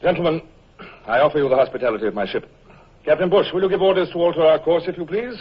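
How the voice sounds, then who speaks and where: Husky, resolute British naval commodore. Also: male voice, fairly high pitch, quick pace. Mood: commanding. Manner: formal, clipped, authoritative. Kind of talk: old-time radio drama acting and first-person narration. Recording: muffled old-time radio recording.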